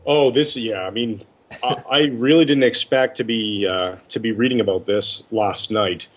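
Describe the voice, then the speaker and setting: A man with a slightly low voice speaks as a panelist on a video call podcast. He offers casual analysis, thinking it through aloud in a conversational way. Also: moderate pace. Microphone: Skype video call.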